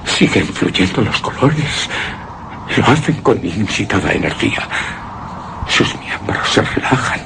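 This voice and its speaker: An actor playing a terrified, anguished widower in a gothic horror radio drama, speaking theatrically in halting, repeated phrases. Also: male voice, on the low side, sing-song, gravelly, background noise, full character voice. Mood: astonished, fearful. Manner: halting, theatrical, repeats words, breaks into hushed gasps. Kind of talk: Spanish-language horror radio drama monologue.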